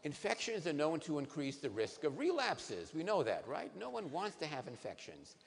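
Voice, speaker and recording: A man in his sixties, a neurologist, giving a lively science lecture to patients on a conference stage, asking the audience questions. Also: fairly high pitch, normal speed, creaky. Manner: animated, asks the audience questions. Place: large conference hall stage. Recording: reverberant hall, faint noise.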